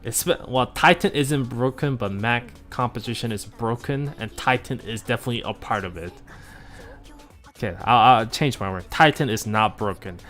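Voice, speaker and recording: A man's voice: mid-range voice, moderate pace, soft background music. A man streaming a game, chatting casually with viewers, rambling with many fillers and self-corrections. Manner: relaxed, opinionated about game units.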